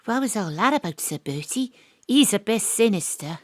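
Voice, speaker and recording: A brisk amateur sleuth, played by a woman in an audio drama, wry and matter-of-fact. Her voice sits mid-pitch with a close, dry sound. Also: expressive, dry recording, close to an everyday voice. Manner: wry, dry asides in narration and dialogue. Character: brisk female amateur sleuth posing as an actress. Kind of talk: live remote audio drama acting. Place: home studio.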